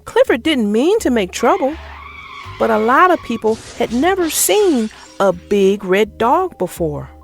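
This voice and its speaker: A woman narrating a children's picture book as an expressive, theatrical read-aloud. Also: fairly high pitch, unhurried, soft background music.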